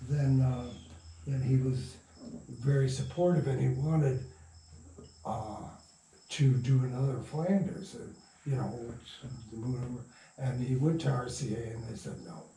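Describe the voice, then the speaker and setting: An older man being interviewed, telling stories in a halting, rambling way with trailing thoughts. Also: medium pitch, warm tone. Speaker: interview guest, radio play producer. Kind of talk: casual reminiscing interview. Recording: roomy, distant mic, faint noise.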